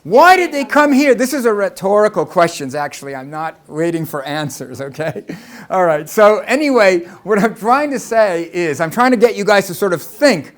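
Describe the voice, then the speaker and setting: An American man with a fairly high voice gives a talk to a room of listeners. He explains step by step and often poses rhetorical questions. His tone stays casual and conversational. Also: very animated, moderate pace. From Los Angeles, United States. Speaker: lecturer. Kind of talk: presentation talk to an audience.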